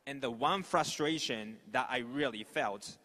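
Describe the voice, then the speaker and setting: A man from Japan with a Japanese accent, a native Japanese speaker, giving an informal, halting conference presentation. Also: medium pitch, bright, forceful tone. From Osaka, Japan. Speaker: startup executive, conference speaker. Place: large conference hall stage. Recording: echoey hall with long reverb.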